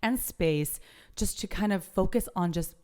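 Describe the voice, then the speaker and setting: A young woman hosting a casual chat podcast, bubbly and expressive, laughing easily as she talks. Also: medium pitch, unhurried. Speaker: podcast host.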